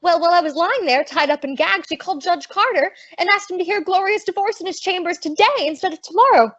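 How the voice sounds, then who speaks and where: Woman acting a scrappy wisecracking young dame, high voice, old radio comedy style, kinda dull recording. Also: fast talker, fairly bright tone. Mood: urgent. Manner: slangy, feisty, indignant.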